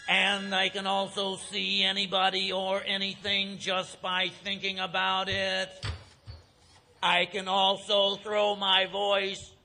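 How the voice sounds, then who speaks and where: A male actor as a revived scientist trapped in a clanking robot body. He speaks in a high, creaky monotone, halting and mechanical, with menace and anguish in a comic stage radio play. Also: heavily acted, low-quality recording. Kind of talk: comic live audio theatre acting. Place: stage, live audio theatre performance.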